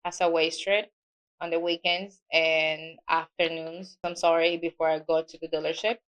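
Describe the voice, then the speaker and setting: A woman's voice: sits low, little pitch movement, normal speed, fairly bright tone, rough recording. A middle-aged woman with a Spanish accent, a native Spanish speaker, telling her work story in a casual interview with small grammar slips. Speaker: guest, mortgage loan officer.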